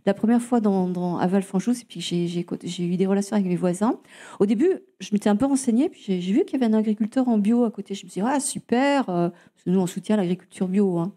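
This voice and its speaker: A woman's voice: medium pitch, fast talker, mellow. A woman from Alsace answering interview questions about her nature charity's land, talking hesitantly with many fillers and restarts, and telling stories as she goes. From Alsace, France. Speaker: guest, nature charity representative.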